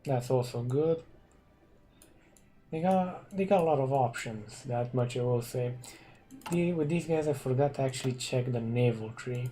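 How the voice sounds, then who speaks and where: A Romanian man, a non-native English speaker, casually commenting on a strategy game and thinking aloud with hums and pauses. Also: medium pitch, warm tone. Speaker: gaming commentator.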